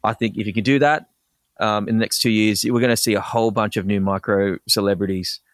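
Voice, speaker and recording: An Australian man talks through his ideas as a podcast guest, eager and quick, often restarting a phrase before pushing on. His voice sits low, and the sound is dry and close, with little room around it. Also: fairly even intonation, no room sound. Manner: quick, enthusiastic explainer with frequent restarts. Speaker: guest, personal branding expert. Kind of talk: casual podcast interview.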